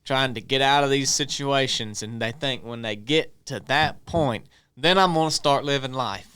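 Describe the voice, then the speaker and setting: A man's voice: mid-range voice, moderate pace, bright, forceful tone, smooth. A man on a podcast, sharing scripture and plain reflections in a casual religious discussion. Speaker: podcast team member.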